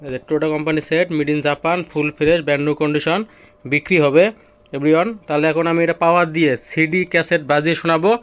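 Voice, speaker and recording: A man's voice: a little high, little pitch movement, quick pace, smooth. A man presenting goods for sale in an informal demonstration video, with repetitive patter that keeps urging viewers to look. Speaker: seller presenting used car stereo gear.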